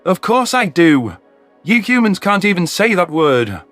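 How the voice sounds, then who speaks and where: A man's voice: high voice, sing-song, a little slow, a bit bright, faint music behind, voice pushed up, exaggerated voice. A man voicing a bitter, hateful alien veteran in a dramatic, vehement telling of a sci-fi story. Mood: hateful, spitting.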